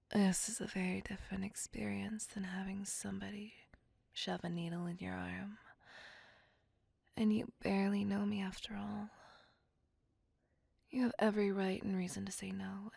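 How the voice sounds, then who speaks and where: A woman's voice: medium pitch, creaky, a little mellow, slightly rough, dry recording. A woman playing a seductive, possessive vampire in an intimate ASMR roleplay, whispering breathily with formal, courtly tenderness. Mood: tender, courting. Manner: slow, intimate, formal, coaxing endearments.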